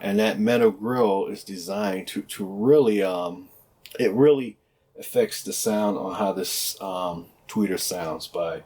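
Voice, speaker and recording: A man's voice: deep voice, darkish tone. A man casually explaining a product in an unscripted, conversational way, repeating himself as a presenter. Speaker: product presenter for a loudspeaker maker.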